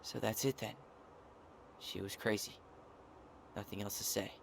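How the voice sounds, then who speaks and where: A man's voice: on the low side, fairly even intonation, a little slow, vocal fry, slight background noise. A man playing a wounded, stubborn young man in naturalistic video game dialogue, earnest and blunt. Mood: troubled. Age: young adult.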